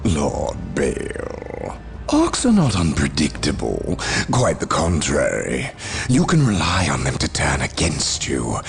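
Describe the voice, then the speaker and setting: A cold, scheming dark lord from a video game, extremely deep and gravelly. He takes his time, bending phrases with expressive, condescending superiority. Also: heavily acted. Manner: measured, condescending. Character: calculating, cold Chaos sorcerer villain, smugly assured. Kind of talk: video game cutscene acting.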